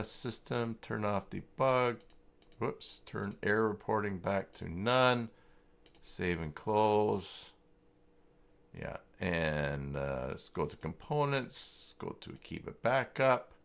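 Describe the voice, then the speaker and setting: A man hosting a casual, unscripted livestream tutorial, talking haltingly as he thinks aloud through each step. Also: on the low side, gravelly, smooth. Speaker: livestream host and web tutorial presenter.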